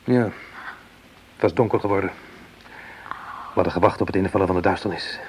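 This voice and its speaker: An actor playing a troubled, defensive imperial soldier with an earnest, dramatic delivery in a Dutch radio drama. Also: male voice, sits low, a little fast, natural voice. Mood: grave, haunted. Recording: noisy old radio play recording.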